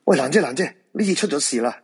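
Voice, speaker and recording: An actor playing a polite, nervous fortune-teller who sees ghosts, in a Hong Kong Cantonese radio drama, with a courteous, probing manner. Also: male voice, a little high, lively intonation, fast, slightly rough. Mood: alarmed, reasoning. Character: polite, nervous male feng shui fortune-teller with ghost-seeing eyes.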